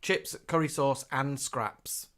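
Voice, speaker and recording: Male co-host from the UK, mid-pitched and chatty, reads live comments aloud in a relaxed nostalgic chat. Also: takes it slowly. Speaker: co-host reading viewer comments. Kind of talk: casual live-stream chat.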